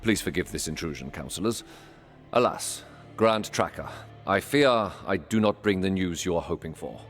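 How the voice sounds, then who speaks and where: Veteran monster hunter, very low voice, formal, dutiful, faint music underneath. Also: male voice, lively intonation. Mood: grave, formal. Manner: formal, measured. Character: grave, dutiful male veteran dragon hunter. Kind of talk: dramatic fantasy game acting.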